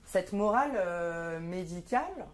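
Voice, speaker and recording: A woman's voice: lowish voice, animated, unhurried. A woman in her thirties performing a stand-up comedy monologue, wry and sardonic, with frequent hesitant fillers. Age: 30s.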